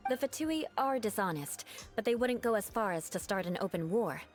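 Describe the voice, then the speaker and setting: A woman voicing a proud, vengeful young woman knight in a game, cold and haughty, with sharp battle cries. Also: mid-range voice, expressive, fairly quick, lightly acted. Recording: game audio with music underneath.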